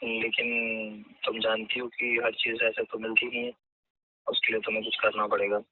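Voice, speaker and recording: A man's voice: lowish voice, monotone, normal speed, no room sound, natural voice. A man playing a pushy, lecherous landlord with an insistent, persuasive way of talking in a staged phone call drama. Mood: coaxing, insinuating. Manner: insistent, hectoring then persuasive. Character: pushy lecherous male landlord, irritated then coaxing.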